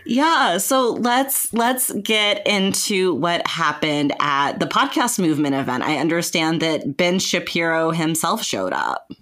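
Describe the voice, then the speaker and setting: Female podcast host, slightly lower voice, chatty, informal, sweary and self-deprecating. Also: expressive, unhurried, hardly any pauses, a bit bright. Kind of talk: casual chat.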